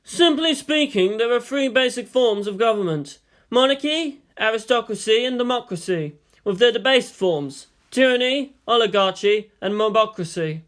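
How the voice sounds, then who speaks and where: A man voicing a weary, thoughtful statesman and historian who lectures in a measured, philosophical way in a story reading. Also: extremely high pitch, expressive, a bit bright, voice pushed up. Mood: weary lecturing.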